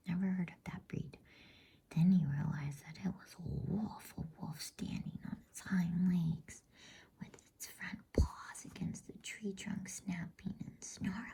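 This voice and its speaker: A woman reads a bedtime story in a hushed, soothing, breathy ASMR whisper with a husky edge. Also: sits low. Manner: slow, hushed, soothing delivery. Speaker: host reading bedtime stories.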